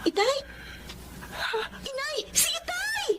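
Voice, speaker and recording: A young woman playing a respectful, devoted daughter in a Filipino radio drama, polite and gently hesitant. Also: extremely high pitch, lively intonation, takes it slowly, exaggerated voice. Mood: moved.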